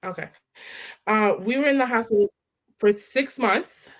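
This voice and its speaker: Woman, 30s, Baltimore-raised guest; animated, laughing storyteller, laptop call audio. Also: fairly high pitch, unhurried. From Baltimore area, Maryland. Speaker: guest, maternal health director. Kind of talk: casual interview chat. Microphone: video call on a laptop.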